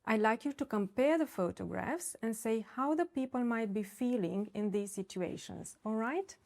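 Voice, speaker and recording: A woman acting as a speaking exam examiner, reading set questions in a measured, formal way. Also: a little high, vocal fry, a little mellow.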